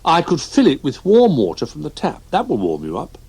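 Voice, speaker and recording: A helpful teddy bear with a brisk, take-charge manner, as a man puts him on. His voice sits a little above the narrator's, bright and fussy, like a friendly storybook organizer. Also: fairly high pitch, huge pitch swings, slightly rough, pitched above the performer's own voice, full character voice.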